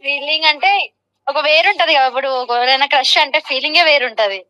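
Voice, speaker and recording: A young woman answers casually as a phone interview guest. Her voice is high and bright, and she speaks at a brisk clip. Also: poor audio.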